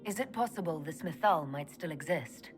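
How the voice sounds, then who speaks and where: Game role: elven heroine mage, slightly low, lively intonation, blunt, probing, commanding, music playing behind. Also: female voice, normal speed. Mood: questioning. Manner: direct, challenging, questioning. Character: sharp, assertive woman elf mage and leader. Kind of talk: video game dialogue acting.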